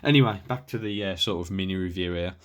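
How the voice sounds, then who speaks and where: British male, deep register, unscripted gear-review chat, hesitant and rambling, recorded on a handheld camera. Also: fast, fairly bright tone. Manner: rambling, hesitant, self-interrupting. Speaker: hobbyist showing and reviewing his radio gear. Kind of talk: casual unscripted equipment demo.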